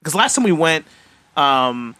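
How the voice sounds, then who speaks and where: A man's voice: on the high side, very animated, unhurried, bright, forceful tone, smooth, dry recording. A man co-hosting a podcast, chatting casually and telling informal anecdotes in which he acts out bits of conversation. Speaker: podcast co-host. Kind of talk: casual chat.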